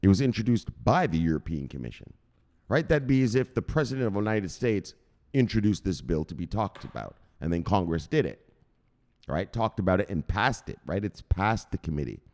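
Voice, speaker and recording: A man's voice: low voice, very animated, brisk, darkish tone. A man hosting a crypto news channel, ranting through the headlines in a profane and emphatic way.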